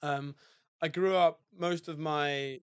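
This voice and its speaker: A man with a British accent talks through his story in a relaxed, reflective way, often pausing on fillers and restarting. His pitch stays flat and even, and his voice is smooth and clear in a dry room. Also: dry recording. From UK, outside London. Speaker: guest, hotel owner. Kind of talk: casual podcast interview.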